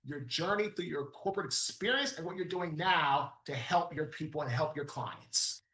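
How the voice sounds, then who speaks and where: Male podcast host, punchy motivational delivery, bright voice, roomy sound. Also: a little high, normal speed. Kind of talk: podcast interview with ad read.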